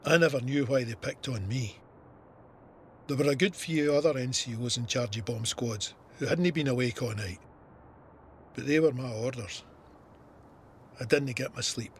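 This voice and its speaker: A man's voice: mid-range voice, normal speed, long pauses, a little hiss or hum, close to an everyday voice. An actor playing a Scottish First World War corporal, telling his memories plainly in an audio drama. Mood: sombre recollection. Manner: plain-spoken, matter-of-fact storytelling. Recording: artillery and gunfire effects behind.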